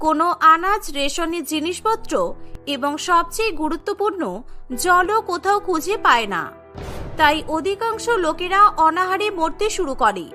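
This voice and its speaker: A woman's voice: very high-pitched, animated, moderate pace, pushed and bright. A woman reading scripted narration for a cartoon story, with music behind her.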